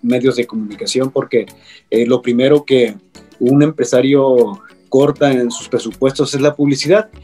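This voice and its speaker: A middle-aged man with a Mexican Spanish accent, a radio broadcaster speaking on a panel, pausing often with fillers. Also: medium pitch, fairly even intonation, warm tone, faint music behind. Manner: hesitant, many fillers. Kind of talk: panel conversation.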